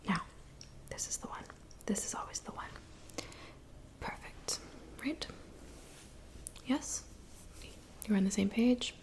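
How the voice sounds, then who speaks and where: A woman speaking in a soft, breathy whisper, gently reassuring the listener in an ASMR roleplay. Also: mid-range voice, slow pace, long pauses. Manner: gentle, reassuring, attentive personal-attention talk. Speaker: ASMR performer. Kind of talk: whispered ASMR roleplay as a witch doing hair, makeup and potions.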